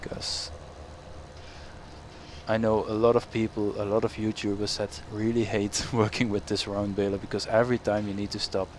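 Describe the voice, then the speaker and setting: A young man with a Dutch accent talks through his farming game in a relaxed, meandering way, often correcting himself mid-sentence. His voice sits on the low side. Engine rumble and game ambience run clearly beneath him. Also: moderate pace. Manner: rambling, self-correcting, chuckles often. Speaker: gaming YouTuber. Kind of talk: casual gameplay commentary. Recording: game engine noise behind voice. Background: farm machinery and ambient game sounds.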